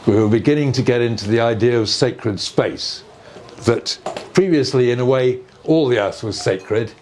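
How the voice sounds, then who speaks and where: A man's voice: medium pitch, a little mellow. Older man explaining over background noise. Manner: measured, explanatory, checks with rhetorical questions. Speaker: speaker on earth energies and ancient sites. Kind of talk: informal explanatory talk in conversation.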